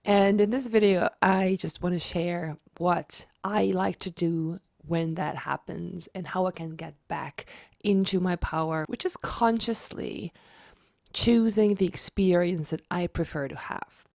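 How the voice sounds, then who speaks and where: A woman with a slight cold talks through a casual vlog, her voice raspy and gravelly in a lowish register. She restarts phrases often as she explains, and the sound is dry and close. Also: measured pace, darkish tone, no room sound. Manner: chatty, repeats herself with restarts. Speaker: manifestation vlogger.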